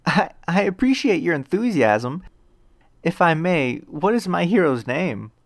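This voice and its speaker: Gentle bewildered young woman acted by a man, hesitant, grateful, soft-spoken, raised pitch, dry storybook sound. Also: very animated, measured pace, creaky, no room sound, exaggerated voice. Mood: curious, polite. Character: weak, grateful, confused young woman with amnesia. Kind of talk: acted audiobook dialogue.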